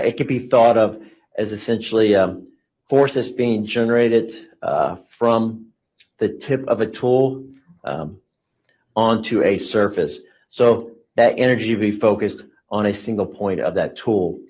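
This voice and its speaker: A man's voice: fairly low pitch, darkish tone. A man giving expert testimony in a courtroom, hesitant and full of fillers, explaining technical terms carefully. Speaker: expert witness.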